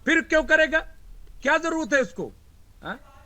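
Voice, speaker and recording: A man argues as a panelist in a heated TV debate. His voice is very high and forceful, and he repeats phrases to hold the floor. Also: big pitch swings, normal speed.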